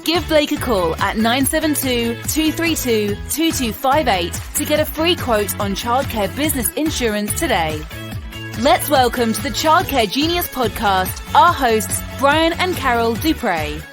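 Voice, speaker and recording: Female announcer, polished, promotional, lively and high, music behind. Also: unhurried. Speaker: show announcer. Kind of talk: scripted intro and ad read. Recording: announcer over clear music.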